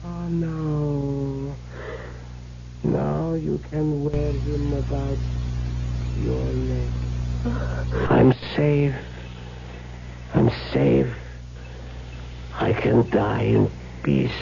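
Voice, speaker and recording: A man's voice: mid-range voice, lively intonation, very slow, vocal fry, long pauses, exaggerated voice. An actor playing a wealthy, formal man obsessed with the occult in an old-time radio drama, speaking theatrically. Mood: relieved, weak. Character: wealthy occult-obsessed man, urbane, later dying and terrified. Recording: muffled, noisy old radio broadcast.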